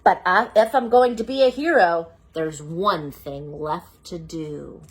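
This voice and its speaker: A proud little schoolboy tells his own story, slow and deliberate, working up his courage, as a woman reads him. The voice is breathy and unhurried. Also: fairly low pitch.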